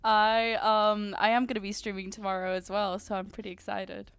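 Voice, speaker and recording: A woman's voice: medium pitch, little pitch movement, moderate pace, clean tone, no room sound. A young woman streaming from home, chatting casually with viewers in a bubbly, chatty way. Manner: bubbly and chatty, many "like"s and giggles. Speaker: VTuber streamer.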